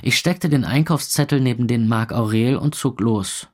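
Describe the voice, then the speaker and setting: A man's voice: medium pitch, normal speed, close to an everyday voice. A man playing a wry, gloomy young club owner who narrates a radio drama in the first person, dry and laconic. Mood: wry, wistful.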